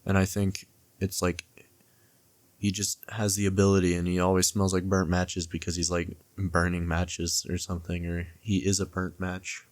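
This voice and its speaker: A man with a deep voice talks through casual commentary in a fairly monotone way. He rambles and hesitates, backing up to correct himself, and the sound is dry and close. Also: moderate pace, no room sound. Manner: hesitant, rambling, self-correcting mid-thought. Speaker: video essayist reviewing and theorizing about a horror series. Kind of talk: casual, loosely scripted commentary.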